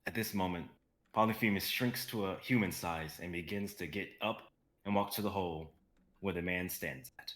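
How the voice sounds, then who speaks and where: A man's voice: deep voice, moderate pace, fairly bright tone. A young man narrating a script in a dramatic reading style. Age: young adult. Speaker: narrator and reader.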